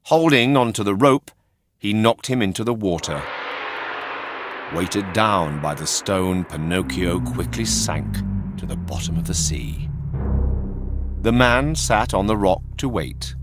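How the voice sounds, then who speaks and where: A man reading a children's story as narrator, in a dramatic, expressive storytelling manner. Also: on the low side, a little slow, music in the background.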